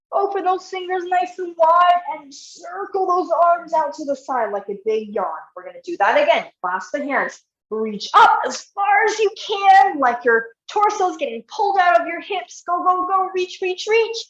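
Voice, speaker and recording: A woman physiotherapist leading an online exercise class, guiding step by step in an encouraging way, her voice a bit croaky. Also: very high voice, echoey room, highs cut off. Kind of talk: live online exercise instruction.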